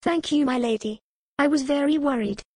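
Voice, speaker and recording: High worried little girl, pleading, woman putting her on, dry studio sound. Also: moderate pace, mellow, dry recording. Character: earnest anxious little girl, about seven.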